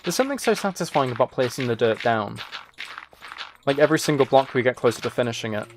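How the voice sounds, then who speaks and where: A man's voice: mid-range voice, lively intonation, fast talker, background noise. A man livestreaming a game, casually rambling and thinking aloud as he replies to viewers. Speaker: livestreamer, gaming and ASMR channel creator. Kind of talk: casual live stream chat while gaming.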